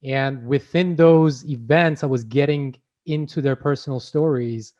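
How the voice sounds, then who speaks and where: A man's voice: medium pitch, measured pace, warm tone, dull audio. A man hosting an interview show, speaking earnestly with fillers and self-corrections. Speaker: host. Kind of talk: casual interview chat.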